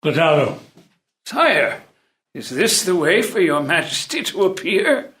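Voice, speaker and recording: A man voices a stern old tutor and jailer to royalty in a stage play. His delivery is slow and formal, in a bright, forceful voice. The reading rings in an echoey room. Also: on the high side, very animated. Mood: deferential. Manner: measured, formal, theatrical. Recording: roomy, echoey live room.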